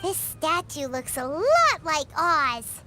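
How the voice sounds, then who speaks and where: Squeaky, excitable little fairy girl. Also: slow pace, full character voice. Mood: excited, curious. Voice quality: squeaky, childlike. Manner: excitable, refers to herself by name. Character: small childlike excitable fairy companion girl. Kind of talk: video game voice acting. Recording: music behind the voice.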